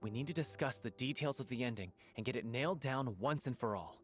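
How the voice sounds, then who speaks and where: With music behind him, a mid-pitched man voiced by an actor comes across as composed and serious. He talks in a measured, matter-of-fact way, as a businesslike game character. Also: natural voice. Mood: decisive, calm. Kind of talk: video game voice acting. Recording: music under the voice.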